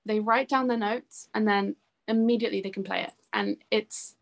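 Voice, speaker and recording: A woman's voice: mid-range voice, steady tone, moderate pace. A young woman chatting casually and enthusiastically as a musician being interviewed. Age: young adult.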